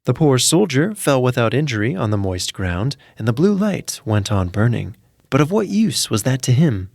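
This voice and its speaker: A man's voice: medium pitch, big pitch swings, normal speed, creaky, a little mellow. A man narrating a scripted fairy tale as a storyteller.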